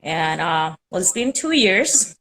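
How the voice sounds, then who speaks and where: A woman's voice: fairly low pitch, sing-song, takes it slowly, fairly bright tone, low-quality recording. A young woman from Puerto Rico with a Puerto Rican accent, Spanish her first language, chatting on a video call and rambling with many fillers. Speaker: caller on a live stream. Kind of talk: casual chat.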